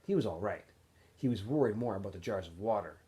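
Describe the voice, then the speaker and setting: A man reading a novel aloud as a teacher would for students, in an expressive, animated storytelling way with phrases that often trail off softer. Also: lowish voice, fast. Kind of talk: expressive audiobook-style read-aloud narration.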